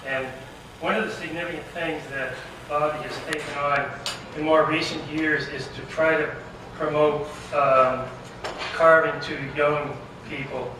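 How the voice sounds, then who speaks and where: Guy doing a casual thank you speech, stop and start, far from the mic, super echoey hall. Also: fairly high pitch. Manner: halting, pauses mid-sentence. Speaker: award recipient giving acceptance speech. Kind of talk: informal acceptance speech. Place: large banquet room over microphone. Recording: echoey banquet room, distant, noisy.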